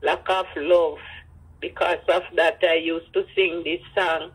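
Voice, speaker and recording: Husky older Jamaican woman. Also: lowish voice, steady tone, unhurried, low-quality recording. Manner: speaks in patois, acts out dialogue. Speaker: grandmother telling her life story. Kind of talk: personal storytelling.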